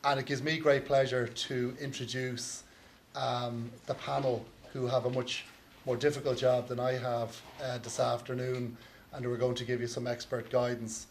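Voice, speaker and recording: An Irish man moderates a conference session in a loose, chatty way, filling pauses with ums and slipping in small jokes. His voice sits at an ordinary mid pitch. A faint background hum runs under the talk. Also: flat delivery. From Ireland. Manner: chatty, light joking, frequent ums. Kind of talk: conference panel introduction. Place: conference hall.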